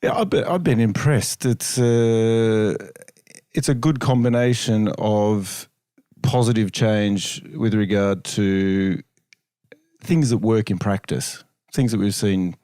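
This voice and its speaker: An Australian-accented man answering interview questions casually, with measured, hesitant phrasing and dry humour. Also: on the low side, warm tone, no room sound. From Melbourne, Australia. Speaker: interview guest, chiropractor and researcher.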